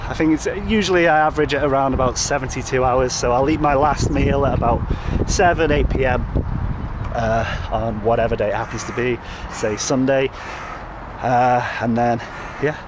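A man's voice: mid-range voice, steady tone, moderate pace. A man talking casually to a handheld phone as he walks outside, rambling with lots of fillers and restarts. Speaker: vlogger. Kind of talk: casual walking vlog. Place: outdoors, walking by a road with traffic. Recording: outdoor wind and traffic noise.

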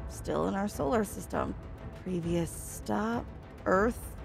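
A woman's voice: mid-range voice, expressive, slow, creaky, full character voice. A woman playing a determined, exhausted survivor piloting an alien spacecraft in a sci-fi audio drama, commanding and urgent. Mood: tense, focused. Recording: dramatic music behind the voice. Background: music.

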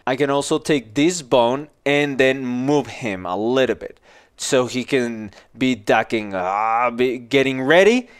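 A man in his forties giving an informal software tutorial, explaining casually step by step into a headset microphone in a small office. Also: mid-range voice, a little slow, clear, resonant tone. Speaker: animation tutorial instructor.

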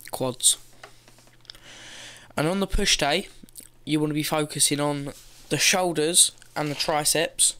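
A man's voice: fairly high pitch, pushed and bright, smooth. A teenage boy giving casual gym advice from his bedroom, loose and rambling, swearing now and then, talking into a gaming headset. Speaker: fitness vlogger. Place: bedroom, indoors.

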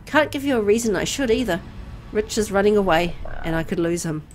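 A woman's voice: medium pitch, animated, brisk, a little hiss or hum. A woman reading a first-person story aloud in a dramatic narrating style. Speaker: visual novel reader.

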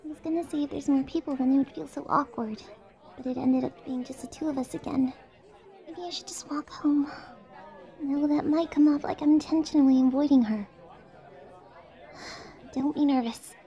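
Woman voicing a high-pitched, flustered schoolgirl. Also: fairly even intonation, normal speed, pauses often, mellow, some chatter behind. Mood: anxious. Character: shy, flustered teenage schoolgirl, anxiously overthinking. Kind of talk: amateur comic fandub acting.

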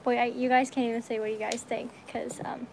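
Bubbly young American woman, high-pitched. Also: fairly quick, vocal fry. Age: young adult. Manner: bubbly, excitable, slangy. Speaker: livestreaming vlogger. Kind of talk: casual livestream chat. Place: metal-walled horse stall in a barn. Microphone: phone at arm's length. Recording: noisy barn ambience, handheld phone.

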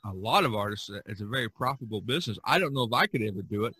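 A man's voice: lowish voice, lively intonation, racing, sharp tone, husky, no echo. A middle-aged man hosting a podcast, chatting casually and ramblingly about art. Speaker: podcast host.

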